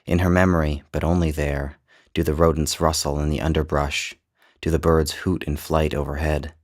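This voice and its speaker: A man narrating his own literary short story in a measured, descriptive storytelling manner. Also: extremely low pitch, level tone. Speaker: author and narrator.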